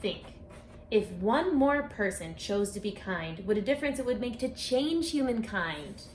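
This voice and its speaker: A woman reading a rhyming picture book aloud for children, speaking expressively and deliberately, straight to her young listeners. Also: medium pitch, lively intonation. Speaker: children's book author and read-aloud presenter. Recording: echoey room with faint background noise.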